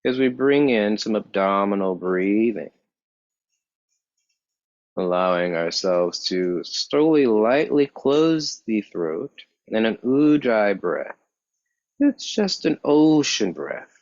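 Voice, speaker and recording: Guy teaching yoga meditation, calm n really slow, lots of pauses, kinda muffled dry recording. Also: on the low side, dry recording. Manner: calm, measured, with pauses between short phrases. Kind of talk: guided yoga meditation instruction. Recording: dull, muffled lo-fi sound.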